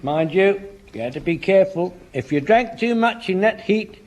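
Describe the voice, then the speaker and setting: An actor playing an eager, rambling old army veteran in a British sitcom. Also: male voice, high voice, animated, normal speed, darkish tone. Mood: reminiscing. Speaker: actor in a comedy.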